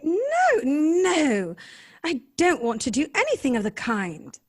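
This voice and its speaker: A woman's voice: sits high, huge pitch swings, slow pace, darkish tone, no echo, studio quality, heavily acted. An actress playing an earnest, tender-hearted and naive young lady in an old-time radio drama, questioning and emotive in her delivery. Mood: shocked, outraged. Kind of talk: live recreation of 1930s radio drama.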